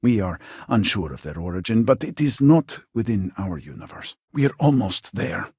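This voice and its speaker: A man's voice: on the low side, sing-song, moderate pace, a little mellow, husky, dry recording, studio quality. An actor playing an elderly, proud and impatient male scientist in a video game, speaking in a formal, theatrical way. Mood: measured, urgent. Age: older.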